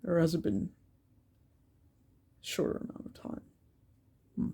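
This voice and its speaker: Congested young man, slow and hesitant. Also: warm tone. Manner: hesitant, many ums and repeated words. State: congested from a cold. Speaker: art channel creator. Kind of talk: casual art vlog narration while sketching.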